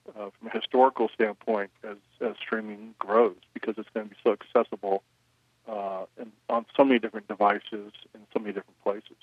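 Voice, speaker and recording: Man, low voice, record label executive, measured interview answers, narrow phone-like sound, dry. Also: no room sound. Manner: measured, many "you know" fillers.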